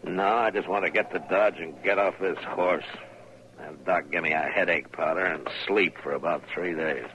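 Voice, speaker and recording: An actor playing a gruff, weary American marshal in a radio western, sounding sick and worn out, terse and grumpy. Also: male voice, low voice, moderate pace, sharp tone, dull audio. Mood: ailing, irritable. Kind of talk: 1950s radio western acting. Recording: noisy vintage radio recording.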